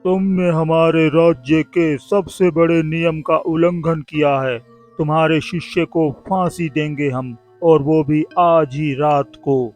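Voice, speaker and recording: A man's voice: a little high, fairly even intonation, takes it slowly, a little mellow, faint music behind. A man voicing a pompous, foolish king in a cartoon, with a blustering, colloquial manner. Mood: stern threatening.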